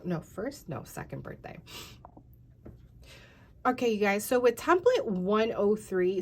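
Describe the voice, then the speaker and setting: Chatty congested woman, low voice. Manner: chatty, rambling, many fillers, addresses viewers as you guys. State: tired. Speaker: craft channel host. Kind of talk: casual craft tutorial talk.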